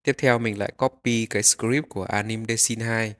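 A man narrating a step-by-step software tutorial, explaining each click as he goes. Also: lowish voice, fairly even intonation, normal speed.

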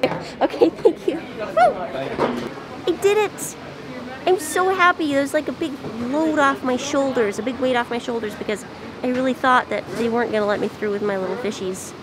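Hoarse young female vlogger, excitable and chatty, self-filmed. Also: high-pitched, normal speed, a crowd in the background. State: hoarse from shouting. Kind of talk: casual vlog to camera. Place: hotel room, then airport. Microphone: handheld camera at arm's length.

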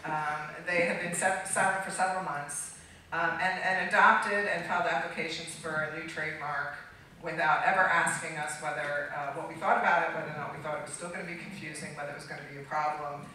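A middle-aged American woman speaking unscripted to a conference audience, halting and emphatic, restarting her phrases as she goes. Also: low voice, fairly even intonation, pushed and bright. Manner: halting, emphatic, with repeated false starts. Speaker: conference keynote speaker, trademark attorney. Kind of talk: public talk to an audience, unscripted. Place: large dark auditorium stage, recorded from far off. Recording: echoey hall, distant microphone, faint noise.

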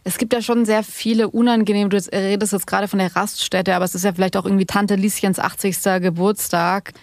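A woman's voice: mid-range voice, level tone, quick pace, no echo, studio quality. A woman hosting a podcast, asking long, conversational interview questions.

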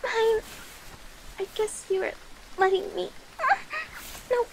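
Woman acting a very high-pitched shy catgirl familiar. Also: steady tone, darkish tone, slight background noise, full character voice, low-quality recording. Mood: playful, flustered. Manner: halting, flustered, cutesy pleading. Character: young catgirl witch's familiar, shy, flustered, devoted, clingy. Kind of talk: ASMR roleplay.

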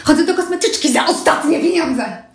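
Melodramatic young wife: high-voiced, accusing and theatrical, recorded in a roomy home room. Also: female voice, lively intonation, fairly quick. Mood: outraged. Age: young adult.

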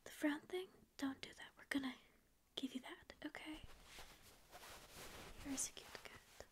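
A woman's voice: sits high, measured pace. Woman whispering as sarcastic sleep paralysis demon. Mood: grumpy whisper. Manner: whispered, mock-rude, sarcastic. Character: grouchy ancient demon, secretly caring. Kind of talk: ASMR roleplay. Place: small dim room indoors. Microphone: close ASMR microphone.

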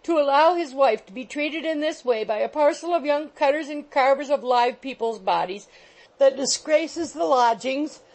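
A woman voicing an indignant, quarrelsome middle-aged landlady, in a loud scolding tirade. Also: mid-range voice, very animated, moderate pace, hardly any pauses, low-quality recording. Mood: shrill, sobbing. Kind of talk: audiobook character voice.

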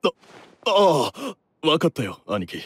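An actor playing a hesitant, subdued younger brother in an anime game. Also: male voice, on the high side, sing-song, a little slow, fairly bright tone, a little hiss or hum. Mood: hesitant, startled.

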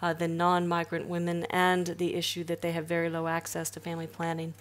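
A middle-aged woman moderating a stage panel, introducing speakers in a smooth, practiced way. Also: fairly low pitch, completely flat delivery, a little fast. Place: stage in a hall with audience.

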